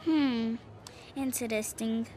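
A woman's voice: a little high, slow, dark tone, a little hiss or hum. An actress playing a bold young girl street vendor in a video game, bargaining in a childlike way. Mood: curious, proud. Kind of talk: video game cinematic acting.

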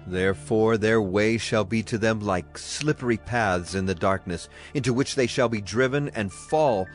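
A man's voice: lowish voice, normal speed. Man solemnly reading scripture over music. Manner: solemn, declamatory. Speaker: Bible narrator. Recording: clear music behind the voice.

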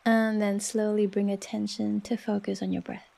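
A woman leading a guided relaxation meditation in a soft, soothing, unhurried manner with long pauses. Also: medium pitch, little pitch movement, gravelly. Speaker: yoga nidra meditation guide.

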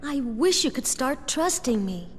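Sincere young game heroine, high voice, echoey hall. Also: female voice, very animated, measured pace. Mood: gently reproachful. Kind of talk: video game cutscene acting. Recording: reverberant hall, faint background rumble.

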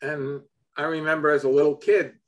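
A man's voice: on the high side, level tone, brisk. A man in his seventies from Brooklyn, chatting casually on a video call from his home office and chuckling often. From Brooklyn, New York. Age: 70s. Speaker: host.

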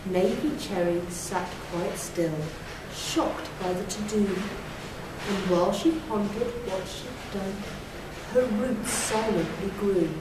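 A woman in her thirties telling a rhymed children's story in a prim, deliberate 1940s television presenter manner. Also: medium pitch. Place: small bare brick-walled room indoors. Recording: echoey room, distant mic, noisy.

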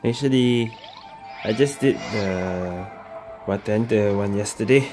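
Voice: medium pitch, slow pace, music in the background. A gaming host giving casual gameplay commentary.

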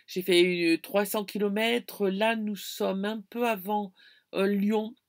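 A woman's voice: medium pitch, steady tone, slow. A middle-aged woman chatting casually to her viewers from inside a camper van, hesitant and full of fillers, filmed close up. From France. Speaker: vlogger. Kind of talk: casual travel vlog. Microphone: phone or camera close to face.

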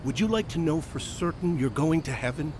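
An actor playing an earnest, eager, polite street pastor in a radio drama. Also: male voice, on the high side, expressive, fairly quick, noisy background, natural voice. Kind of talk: radio drama acting with first-person narration.

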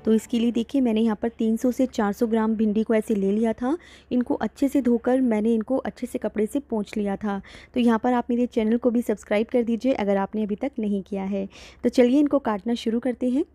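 A woman's voice: on the high side, steady tone, brisk, mellow, no room sound. A woman hosting her cooking channel, explaining a recipe step by step in a friendly instructional way. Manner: explanatory, guides viewer step by step. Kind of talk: cooking tutorial narration. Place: home kitchen.

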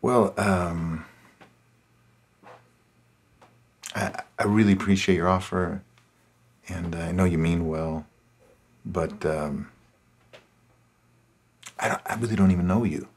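Low-voiced, downcast middle-aged man. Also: unhurried, long pauses. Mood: awkward, reluctant. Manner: hesitant, subdued. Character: downcast, self-blaming husband and father struggling with money. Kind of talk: naturalistic film drama acting.

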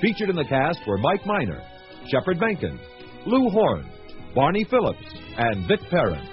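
A man's voice: fairly high pitch, huge pitch swings, normal speed. A man announcing scripted radio credits in a formal broadcast style. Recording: muffled old radio broadcast over music.

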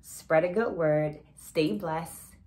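A woman in her thirties giving a reflective, deliberate explanation of a book as a vlog host, talking to camera. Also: low-pitched, darkish tone. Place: small indoor room at home.

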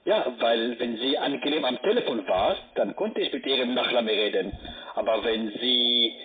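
Man, foreign accent, curt, argumentative, animated intonation, phone-quality sound, busy voices in background. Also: mid-range voice, normal speed, crowd noise. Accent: foreign accent in German. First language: not German. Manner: curt, confrontational. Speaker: call center caller. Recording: tinny phone line, busy call center.

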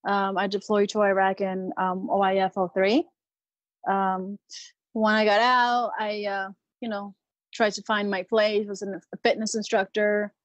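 A woman's voice: medium pitch, level tone, measured pace, no echo, dull audio. A woman in her thirties from Peru, a Marine veteran guest talking casually with lots of hesitant ums and restarts. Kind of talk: casual interview conversation.

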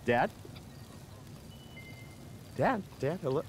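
A spoiled young rich son in a video game cutscene. He is swaggering, profane and halting, with very lively pitch over faint background noise. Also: full character voice. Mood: eager, pleading. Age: young adult.

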